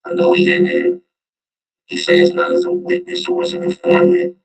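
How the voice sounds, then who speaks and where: A man giving casual, slangy reaction commentary in a loose street manner, as a vlog host. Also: low-quality recording. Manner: slangy, drawn-out street talk.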